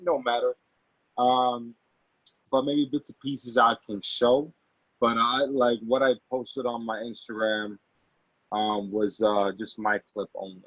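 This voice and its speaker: A man talks casually in an interview, often circling back to repeat and reword his phrases. His voice sits at an average pitch. The recording is close and dry but somewhat dull and lo-fi. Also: fairly even intonation, fairly bright tone, dry recording. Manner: halting, repeats and rephrases himself. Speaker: actor and amateur producer, interview guest. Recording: dull, lo-fi audio.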